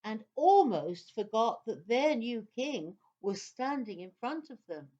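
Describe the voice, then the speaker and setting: Woman reading a kids story, slow pace, highish voice, bit lo-fi. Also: very animated, dark tone. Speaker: narrator. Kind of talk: scripted children's story narration.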